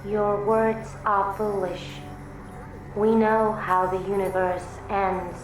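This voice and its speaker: A woman playing a serene, detached alien zoo guide in a radio drama, speaking in a measured, deadpan, unemotional way over music. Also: mid-range voice, lightly acted. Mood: detached calm. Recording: echoing voice over prominent music.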